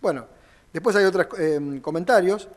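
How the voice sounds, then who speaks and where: A high-pitched man delivers a courtroom closing argument as a defense lawyer. He speaks formally and reads long passages from documents aloud. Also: normal speed.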